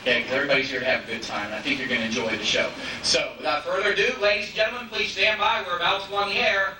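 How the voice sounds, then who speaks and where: A man's voice: fairly high pitch, fairly even intonation, very fast. A man giving an informal welcome address as host of a stage show, recorded from afar in an echoey hall. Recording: echoey hall, distant mic, hissy videotape.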